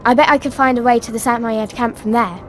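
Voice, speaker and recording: A woman's voice: on the high side, fairly even intonation, fast talker, lightly acted. A woman playing a bold, headstrong, adventurous young girl in a video game. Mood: eager, determined. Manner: eager, headstrong. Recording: music behind the voice.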